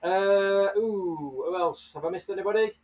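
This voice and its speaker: A man's voice: sits high, normal speed, darkish tone, far from the mic. A man hosting a casual livestream, chatting and greeting viewers by name. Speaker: livestream host. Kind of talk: casual live chat.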